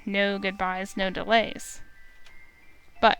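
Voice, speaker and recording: A woman's voice: medium pitch, little pitch movement, slow, a bit bright, smooth. A woman streamer reading a visual novel aloud, narrating expressively in first person.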